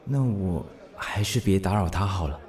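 Very expressive, dreamy dad. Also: male voice, low voice, moderate pace. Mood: wistful, dreamy. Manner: expressive, musing to himself. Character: widowed single father, easily smitten, dreamy and good-natured. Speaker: voice actor, lead. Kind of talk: radio drama acting with inner monologue.

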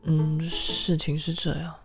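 A woman playing an earnest, tender, easily flustered female bar manager in a radio drama, speaking hesitantly with breathy murmurs. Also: low-pitched, flat delivery, a little slow, faint music behind. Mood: puzzled.

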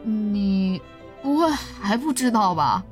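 A woman's voice: mid-range voice, animated, slow pace, faint music behind. A woman acting a friendly, curious young office colleague in a radio drama, chatty and coaxing. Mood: wistful, tactful.

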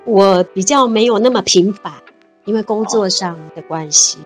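A woman's voice: mid-range voice, moderate pace, soft background music. A woman in her fifties chatting cheerfully as an interview guest, laughing often and repeating words. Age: 50s. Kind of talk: casual interview chat.

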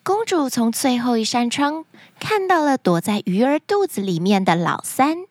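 A woman tells stories for children with dramatic expression. Her voice is high and swings widely in pitch. The recording is dry and close. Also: very animated, moderate pace, a little mellow, no room sound. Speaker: children's story podcast host. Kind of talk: animated scripted storytelling for children.

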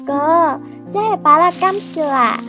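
An actress playing a curious, lively young girl in a children's radio drama. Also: sits high, measured pace, music in the background.

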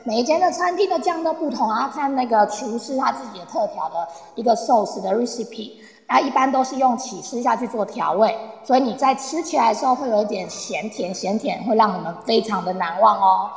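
A woman's voice: a little high, brisk, close to an everyday voice. A woman playing a chatty, eager tour guide who explains patiently and coaxingly in a radio play. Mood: eager, explanatory. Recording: echoey reverberant room sound.

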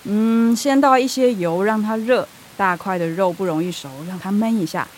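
A woman's voice: medium pitch, normal speed, a little mellow, slight background noise, lightly acted. An actress playing a practical, caring middle-aged mother in a radio drama, speaking with a Taiwanese accent in a coaxing, explanatory way.

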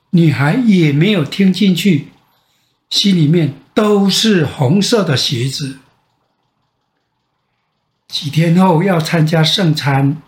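Older Taiwanese man telling bedtime stories, echoey. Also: high voice. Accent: Taiwanese Mandarin. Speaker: bedtime storyteller. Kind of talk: storytelling for children. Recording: echoey room sound.

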